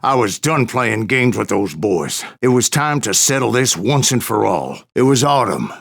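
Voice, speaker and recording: A stubborn old gunslinger from a Western video game. His voice is full of gravel and fry, with a folksy American West drawl. He tells his tall tales like a cantankerous, foul-mouthed saloon storyteller. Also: fairly low pitch, very animated, normal speed, a bit bright, exaggerated voice. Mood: grim, boastful. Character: gruff, stubborn old Wild West bounty hunter spinning tall tales. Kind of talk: storytelling narration, rambling saloon yarn.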